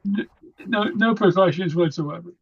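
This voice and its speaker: A man's voice: high voice, normal speed, vocal fry, a crowd in the background. A man recovering from an illness who chats casually and jokingly over a video call, stumbling and restarting his words. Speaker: club member. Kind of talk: casual chat. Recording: dull lo-fi call audio.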